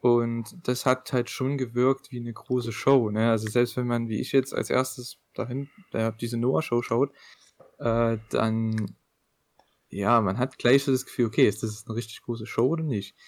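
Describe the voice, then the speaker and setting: A young man talks in a relaxed, rambling way as a podcast co-host. He leans on fillers and tag questions as he goes. His voice sits at a middling pitch, and the recording is dry and close. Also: unhurried, no echo. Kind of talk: casual chat.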